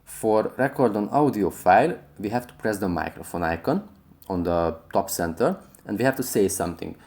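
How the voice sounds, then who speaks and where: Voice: sits low, lively intonation, moderate pace, a little mellow. App tutorial presenter, scripted how-to narration.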